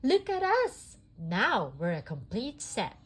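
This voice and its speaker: An exuberant cowgirl toy from a children's storybook, acted out by a woman reader. The voice leaps high and loud, with whooping, yodeling excitement. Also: slow pace, breathy edge, heavily acted. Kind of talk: read-aloud storybook character.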